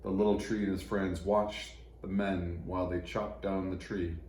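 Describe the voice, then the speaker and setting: Male children's story narrator, lowish and mellow, expressive phrasing, recorded in an echoey room. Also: moderate pace. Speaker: storybook reader for church children. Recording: echoey room, faint background noise.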